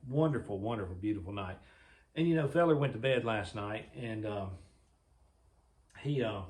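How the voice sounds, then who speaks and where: A man, casually talking through his own jeep restoration in a workshop vlog, folksy and rambling, a little raspy this morning. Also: lowish voice. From Mississippi. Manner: folksy, rambling, thinking aloud.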